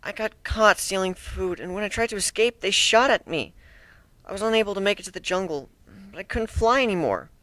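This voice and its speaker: A nervous young female griffin, acted out by a man in a fantasy story reading. The voice is high and soft, hesitant and prone to stammering, timid yet defensive. The recording is close and very dry. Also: lively intonation, a little fast, no room sound. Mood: timid, uneasy. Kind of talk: dramatic fan fiction reading.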